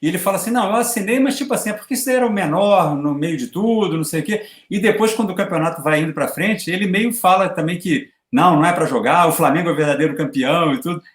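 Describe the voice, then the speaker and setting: A man hosting a casual live chat about football, easygoing and quick, laughing often. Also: fairly high pitch, echoey room. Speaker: host. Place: home, live video stream.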